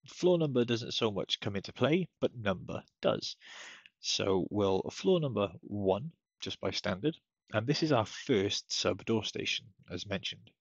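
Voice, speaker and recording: A man giving a casual step-by-step software tutorial as a technical support presenter, recorded as a voice-over at his computer. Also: fairly low pitch, gravelly, breathy edge, dry recording. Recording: dull lo-fi close dry microphone.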